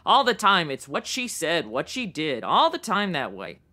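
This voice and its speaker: An actress playing a blunt, world-weary 1950s bar hostess in a radio crime drama, talking in slangy old-time style. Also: female voice, fairly low pitch, wildly swooping pitch, normal speed, bright, forceful tone, exaggerated voice. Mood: bitter, wry.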